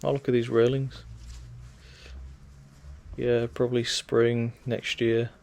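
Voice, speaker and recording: A man with a gravelly, creaky voice wanders an outdoor churchyard, reading old gravestones aloud and thinking out loud. He's losing his voice, and his talk is loose and musing, at a middling pitch. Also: level tone, slow. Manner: musing, reads gravestones aloud, talks to himself. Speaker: vlogger touring a graveyard. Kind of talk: casual walking commentary.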